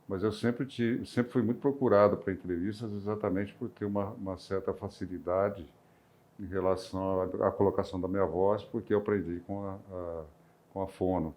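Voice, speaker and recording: Deep-voiced older Brazilian doctor, hesitant. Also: male voice, highs cut off. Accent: rural São Paulo interior, near Minas Gerais. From interior of São Paulo state, Brazil. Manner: hesitant, with frequent restarts and filler sounds. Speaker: retired physician. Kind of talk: reflective personal recollection.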